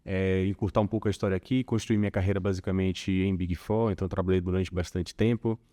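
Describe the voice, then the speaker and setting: A man from Bahia with a Bahian accent, chatting as a corporate guest in an interview, relaxed and full of small filler tags. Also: low voice, fast. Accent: Bahian Portuguese. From Salvador, Bahia, Brazil. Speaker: corporate tax director, guest. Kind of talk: casual interview conversation.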